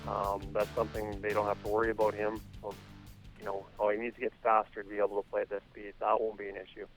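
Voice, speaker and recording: Low-voiced, halting man, a hockey coach. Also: monotone, low-quality recording. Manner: hesitant, halting, measured. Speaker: hockey coach, interview guest. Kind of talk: phone interview. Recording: phone interview with music underneath.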